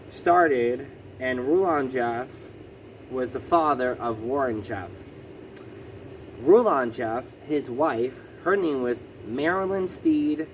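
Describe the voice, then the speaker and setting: Guy with a lisp, halting, lots of um, middle pitched, ac humming loud behind him indoors. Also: a little hiss or hum, poor audio. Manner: hesitant, many ums and self-corrections. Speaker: vlogger. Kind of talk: casual explanatory vlog.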